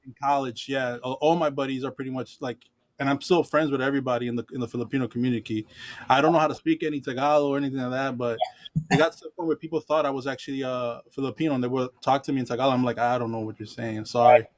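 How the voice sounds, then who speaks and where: Easygoing man, halting speech. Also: mid-range voice, fairly even intonation. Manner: halting, self-correcting, easygoing. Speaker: musician. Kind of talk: casual group chat.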